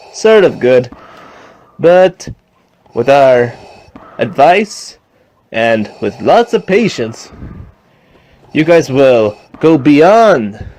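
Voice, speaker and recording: A man voicing a patient, wise Jedi master who instructs pupils deliberately, with dramatic pauses, in an amateur fan story. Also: on the high side, a little mellow.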